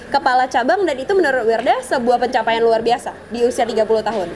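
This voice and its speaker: Young woman vlogger interviewing her guest, playful and giggly, high voice, crowd chatter behind. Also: fast talker. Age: young adult. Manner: chatty, playful, laughs often, addresses viewers as guys. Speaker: channel host, interviewer. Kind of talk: casual vlog interview.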